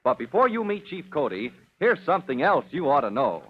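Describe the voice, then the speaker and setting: Old-time radio announcer, thin vintage sound. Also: male voice, on the high side, very animated, fairly quick, slightly rough. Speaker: announcer and narrator. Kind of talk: dramatic old-time radio serial narration. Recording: thin, narrow-band old radio recording.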